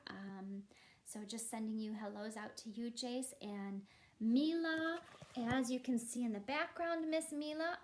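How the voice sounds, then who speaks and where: A woman with a fairly high voice talks to young children as their teacher. She is encouraging and speaks in a simple, chatty read-aloud style. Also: darkish tone. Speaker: elementary school teacher. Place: at home.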